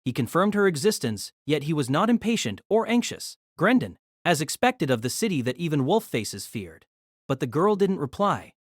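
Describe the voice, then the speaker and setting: A man's voice: fairly high pitch, big pitch swings, normal speed, no room sound, studio quality. A man giving a dramatic scripted narration of an action fantasy story.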